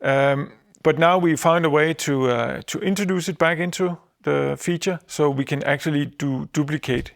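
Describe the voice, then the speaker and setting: A man giving an informal software product update in a halting, explanatory way. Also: a little high, creaky.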